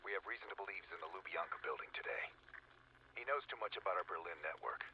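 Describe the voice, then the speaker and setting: Tough American operative, very low gravelly voice, fast, clipped, commanding, game cutscene, slightly dull sound. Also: male voice, very animated, a little hiss or hum. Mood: cool, businesslike. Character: hard-edged, decisive male American intelligence operative. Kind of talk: cinematic video game acting.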